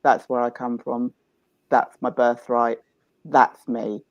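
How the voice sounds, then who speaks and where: A man's voice: mid-range voice, level tone, slow pace, no room sound. A man who runs dance events, speaking passionately and emphatically in a panel discussion. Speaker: event organiser.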